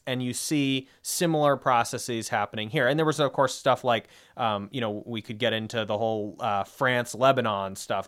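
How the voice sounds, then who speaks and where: Man, young adult, podcast co-host, smooth resonant voice, rambling, filler-heavy. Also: mid-range voice, a bit bright. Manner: rambling, filler-heavy explanation with many asides. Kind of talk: casual discussion podcast.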